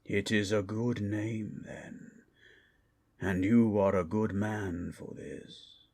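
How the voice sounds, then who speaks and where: Give this fantasy roleplay a proud, protective red dragon. The voice is very low and thick with gravelly creak, breathy at the edges. Every phrase comes extremely slowly, formal and grandiose, menacing yet capable of tenderness. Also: male voice, fairly even intonation, darkish tone, exaggerated voice. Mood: solemn, tender. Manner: slow, grandiose, formal dramatic delivery. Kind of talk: improvised fantasy ASMR roleplay.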